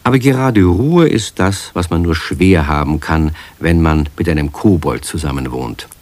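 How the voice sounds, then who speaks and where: A man's voice: rumbling low, moderate pace, a little mellow. A man narrating a children's radio play as a friendly storyteller, speaking directly to young listeners. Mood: friendly, explanatory.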